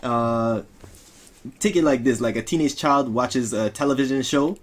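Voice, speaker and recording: A man's voice: mid-range voice, moderate pace. A man co-hosting a casual podcast, explaining his point at length with examples. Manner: explains at length with examples, says "you know what I mean". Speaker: podcast co-host. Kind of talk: casual chat.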